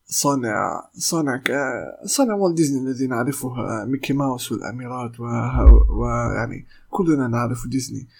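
A young man from Palestine, a voice actor, hosting a solo podcast in careful formal speech, pausing often with fillers and restarts. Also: mid-range voice, creaky, darkish tone. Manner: hesitant, many "uh" fillers and restarts. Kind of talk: solo podcast talk in Modern Standard Arabic.

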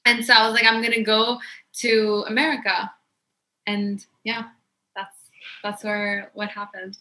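A woman's voice: mid-range voice, flat delivery, a little slow, bright, forceful tone, low-quality recording. A young woman from Pakistan, a podcast guest, chatting casually and filling her speech with "like". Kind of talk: casual interview chat.

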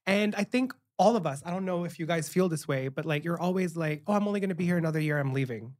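Chatty man guest, quick and laughing. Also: fairly high pitch, darkish tone. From Thailand. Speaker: podcast guest, PR professional. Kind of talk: casual chat.

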